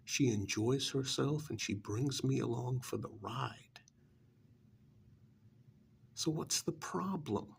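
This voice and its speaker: A man playing a smug, pampered vampire's familiar recruiter in an ASMR role-play, cajoling and dismissive, with a gravelly voice that often drops to a breathy near-whisper. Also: medium pitch, a little hiss or hum. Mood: boastful. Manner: conversational, cajoling, with rhetorical questions and pauses.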